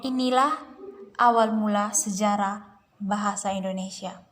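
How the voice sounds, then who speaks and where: A young woman, a student moderator, reading a class presentation in a steady, careful way. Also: mid-range voice, measured pace, slight background noise. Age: young adult.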